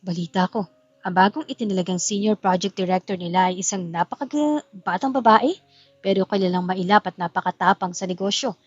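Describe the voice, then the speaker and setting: A woman's voice: medium pitch, fairly quick, dry recording, highs cut off, faint music behind, lightly acted. A woman voicing a gossiping business guest in an audio drama, murmuring information. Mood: confiding.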